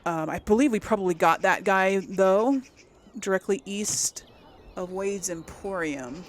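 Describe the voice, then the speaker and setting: A woman's voice: mid-range voice, a little slow, slight background noise. A woman giving casual let's play commentary as host of a gaming video, chatty and thinking aloud as she goes.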